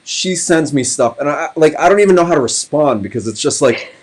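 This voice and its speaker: A man hosting a casual interview, excitable and blunt, swearing freely as he reacts. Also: fairly high pitch, brisk. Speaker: host.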